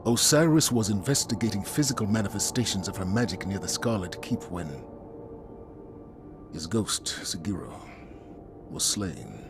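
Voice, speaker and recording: A man playing a solemn veteran commander in a video game, speaking in a measured, deliberate way as he gives a mission briefing. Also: low voice, animated. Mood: somber. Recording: music under the voice. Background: music.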